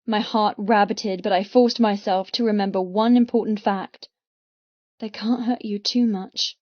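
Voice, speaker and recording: A woman's voice: mid-range voice, fairly even intonation, unhurried, no echo, highs cut off. Woman narrating a dramatic audiobook, close and dry. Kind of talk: dramatic first-person scripted narration.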